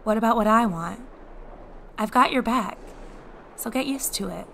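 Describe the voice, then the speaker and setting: Loyal, informal teenage girl in fantasy drama: bright, sharp, highish voice. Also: normal speed, creaky, a little hiss or hum. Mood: defiant. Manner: breezy, informal. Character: plucky, casual, loyal modern teenage girl.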